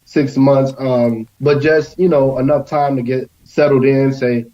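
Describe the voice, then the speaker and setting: A young man talks as a guest in a casual but earnest discussion. His voice sits at an average pitch, and the recording is a bit muffled and lo-fi. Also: steady tone, unhurried, warm tone. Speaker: roundtable guest. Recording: slightly dull, lo-fi sound.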